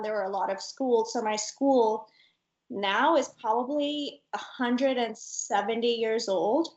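A woman's voice: on the high side, dull audio. A woman from Pakistan talking casually and reflectively about herself as an interview guest. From Karachi, Pakistan. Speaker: interview guest, fashion influencer. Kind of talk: casual personal storytelling.

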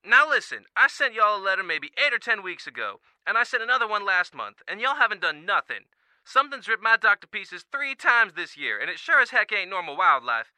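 A man's voice: high-pitched, sing-song, fairly quick, pushed and bright, dry recording, full character voice, poor audio. An actor playing an angry man leaving a blunt complaint on an answering machine. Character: irate rural man threatening to shoot a creature. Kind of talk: audio drama answering-machine message.

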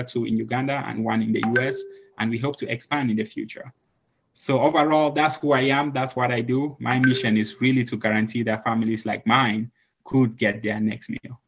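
A man's voice: medium pitch, fairly even intonation, moderate pace, background noise. A man from Cameroon, a podcast guest, telling his story earnestly with many filler ums. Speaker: podcast guest, nonprofit founder. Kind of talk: conversational storytelling.

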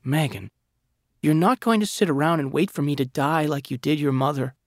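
A man voicing a gravely ill young woman who pleads tearfully, reading a story aloud. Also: fairly high pitch, a little fast, darkish tone, no room sound, clean studio sound, pitched above the performer's own voice. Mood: anguished. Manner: pleading, strained.

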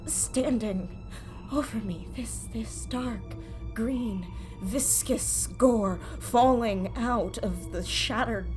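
An actress playing a frightened, injured woman explorer trapped underground in a sci-fi horror audio drama, speaking in halting, stammering fragments. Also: on the high side. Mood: horrified, halting. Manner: halting, stammering, breathy panicked rambling. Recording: loud dramatic music bed behind the voice.

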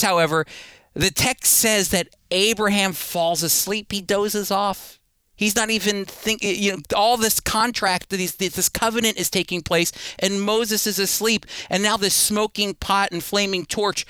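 A man with a fairly high, very bright voice teaches scripture on the radio in a conversational way. He explains with emphasis and talks directly to the listener. The sound is close and dry. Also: clear, resonant tone, dry recording. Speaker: radio Bible teacher and host.

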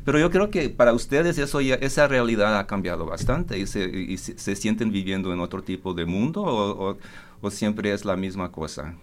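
A man's voice: fairly low pitch, moderate pace. A man moderating a casual group discussion, speaking reflectively and often restarting or repeating words. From United States.

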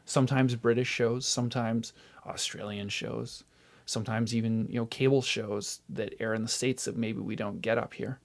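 Man with a creaky voice, chatting casually. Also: medium pitch, fairly even intonation, moderate pace. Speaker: interview guest, TV DVD website founder. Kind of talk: casual enthusiast chat.

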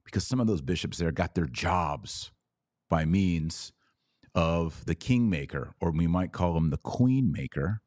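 A man hosting an opinionated commentary show, speaking emphatically and indignantly, with stressed pauses on key words. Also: deep voice, expressive, a little mellow, no room sound, clean studio sound. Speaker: podcast host and commentator. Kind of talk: opinionated news commentary to viewers.

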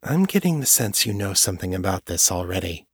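Blunt young woman cop, glum, frustrated, a man doing her in a clearly raised voice, dry close sound. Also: low-pitched, sing-song, a little fast, dry recording, exaggerated voice.

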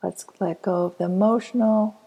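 A woman leading a guided inner child healing meditation, speaking softly and slowly and often dropping to a whisper. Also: medium pitch, steady tone, husky, low-quality recording. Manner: soft, slow and soothing, often dropping to a whisper.

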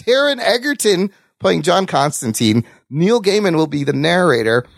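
A man hosting a podcast, chatting casually about news with co-hosts in an excitable, sweary way. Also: high voice, moderate pace, gravelly, dry recording, clean studio sound. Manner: excitable, profane. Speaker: podcast host.

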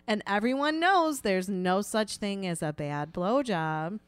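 A woman's voice: mid-range voice, expressive, measured pace, clean tone, no room sound. A woman co-hosting a casual podcast, chatting and reading aloud in a frank, playful, informal way. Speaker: podcast co-host.

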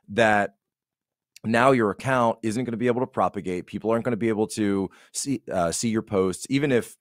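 A man's voice: lowish voice, no room sound, clean studio sound. A man giving casual political commentary as a podcast guest, emphatic and repeating phrases to make his point.

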